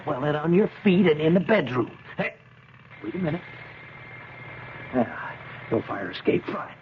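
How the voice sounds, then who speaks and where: A man's voice: a little high, big pitch swings, measured pace. A man playing a menacing, slangy American career criminal with a gun in a 1970s radio drama, stammering when rattled. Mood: cold threat. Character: jittery, menacing male gunman, a street-tough career criminal. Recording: muffled, hissy 1970s radio recording.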